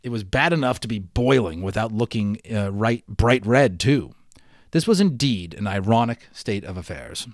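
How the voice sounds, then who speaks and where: A man giving an expressive, enthusiastic dramatic reading of a children's novel, drawing out key words and slipping into casual asides. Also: fairly low pitch, dry recording, clean studio sound. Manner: expressive, enthusiastic storyteller, emphasizes and draws out words. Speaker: amateur audiobook reader.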